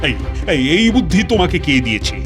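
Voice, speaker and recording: A man voicing an excitable, easily flustered Indian king in a cartoon, theatrical and full of exclamations. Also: a little high, quick pace, music in the background. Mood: alarmed, suspicious. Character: excitable, flustered Indian king fretting over his courtier. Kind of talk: Bengali cartoon dialogue.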